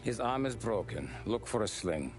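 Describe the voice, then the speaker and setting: Measured mob adviser. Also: male voice, on the low side, very animated. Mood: calm. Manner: measured, businesslike. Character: calm methodical male mob adviser. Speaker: mob consigliere. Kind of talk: video game cutscene acting. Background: music score.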